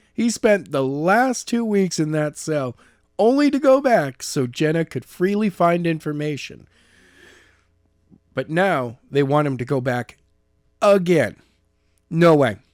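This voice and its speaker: A man reading a novel chapter aloud as narrator, in a steady, plain reading voice. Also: on the high side, slow, no echo, clean studio sound. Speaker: audiobook narrator.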